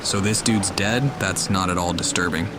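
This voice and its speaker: Male let's player, very low pitch, wry and deadpan, casual, clear background noise. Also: moderate pace. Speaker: gamer playing a story quest. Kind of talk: casual let's play commentary.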